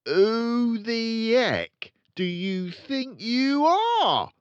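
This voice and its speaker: Man putting on a loud, blustering, crooked storybook dad. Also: extremely high pitch, very animated, very slow, clean tone, no room sound, lo-fi sound, pitched above the performer's own voice, heavily acted. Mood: angry, bullying. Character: crooked, boastful, bullying middle-aged car salesman father. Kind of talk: children's storybook read aloud.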